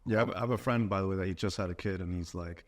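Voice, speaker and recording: A man in his thirties co-hosting a podcast, chatting in a quick, reflective conversational way. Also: low voice. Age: 30s. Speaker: podcast co-host. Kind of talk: casual interview chat.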